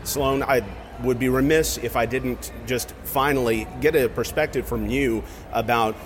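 An enthusiastic male podcast host with a mid-pitched voice. He talks in a chatty way, moving between interview questions and addressing his listeners. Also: steady tone, measured pace, noisy background. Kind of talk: sports interview and show outro.

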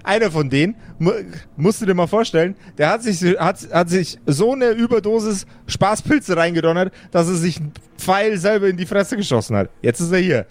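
A man's voice: high-pitched, expressive, brisk, few pauses. A man voicing a boastful, chummy undead necromancer in a fantasy tabletop audio game, slangy, stammering and repeating himself. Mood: excited bragging. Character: boastful, chummy undead necromancer boss bragging about gadgets.

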